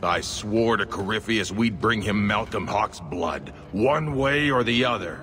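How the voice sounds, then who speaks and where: An actor playing a menacing, fanatical dwarf cult leader in a fantasy video game, taunting and zealous. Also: male voice, lowish voice, expressive, unhurried. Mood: taunting menace. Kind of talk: dramatic fantasy game acting.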